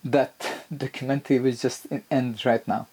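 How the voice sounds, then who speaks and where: Husky man lecturing emphatically. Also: normal speed. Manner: emphatic, lively rises in pitch on key points, many restarts and fillers. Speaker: health lecturer. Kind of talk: explanatory lecture video.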